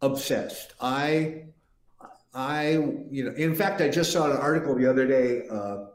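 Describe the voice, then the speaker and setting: Older man, interview guest, rambling anecdotes, restarts sentences, mid-pitched voice, roomy echo. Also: measured pace. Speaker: guest musician. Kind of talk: casual interview storytelling.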